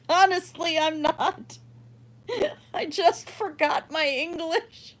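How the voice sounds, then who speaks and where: A middle-aged woman whose first language was German, hosting a livestream and chatting casually with viewers. She rambles, laughs often and lets her sentences trail off softly. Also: extremely high pitch, takes it slowly. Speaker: livestream art host.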